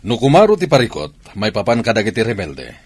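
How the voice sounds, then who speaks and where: A man's voice: lowish voice, huge pitch swings, fairly quick, husky, no echo, heavily acted. A man with an Ilocano accent playing a married army commander in a radio drama. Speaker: soldier husband and father.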